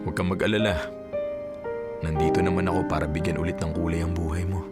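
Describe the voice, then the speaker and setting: A man's voice: low-pitched, little pitch movement, quick pace, natural voice. A man playing a loyal young suitor in a radio drama, speaking in a frank, familiar, colloquial way. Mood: reassuring. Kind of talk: Filipino radio drama acting. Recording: loud music under the dialogue. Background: music.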